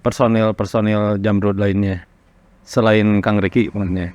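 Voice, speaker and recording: A man from Indonesia, a rock singer, casually recalling his career in an interview in a halting, reflective way. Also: fairly low pitch, flat delivery, a little mellow, dry recording, dull audio. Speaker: rock vocalist being interviewed. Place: studio workroom.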